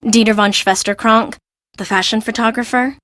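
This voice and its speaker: A woman's voice: on the high side, steady tone, normal speed, a bit bright, dry recording, close to an everyday voice. A woman playing a chatty young fashion assistant in a video game, confiding and gossipy.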